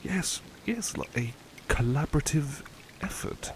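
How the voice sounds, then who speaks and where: A man playing a relaxed, polite, old-fashioned butler in a soft-spoken ASMR roleplay, musing hesitantly in formal wording. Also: a little high, a little slow, slight background noise. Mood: gently eager.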